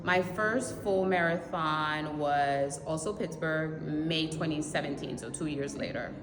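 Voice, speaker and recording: A woman from Haiti with a fairly low voice talks in an animated way about running and laughs often. The recording is echoey with a long reverb tail, and loud ambient noise sits behind her. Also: measured pace. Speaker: marathon runner, interviewee. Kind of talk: casual interview.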